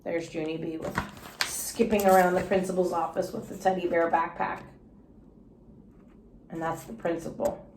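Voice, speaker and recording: A woman teacher reading a children's story aloud in an expressive, instructive way, pausing to quiz her young listeners. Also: fairly low pitch, a little hiss or hum. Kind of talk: children's story read-aloud with questions to pupils. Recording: echoey room.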